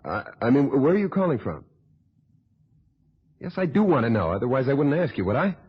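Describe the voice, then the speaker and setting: A man's voice: mid-range voice, sing-song, brisk, husky. An actor playing a puzzled, somewhat exasperated male visitor in a radio thriller, musing and hesitant. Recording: muffled, dull old radio drama recording.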